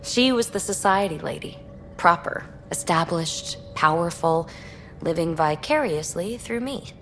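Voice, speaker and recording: A woman acting a brash, candid young rogue explorer in a video game, talking in a snarky, animated and colloquial way. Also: fairly low pitch, measured pace, soft background music. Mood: wistful.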